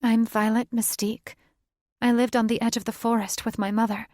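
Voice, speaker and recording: A woman voicing a calm young witch. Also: fairly high pitch, fairly quick, no room sound, clean studio sound, voice pushed up, natural voice. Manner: bright and composed.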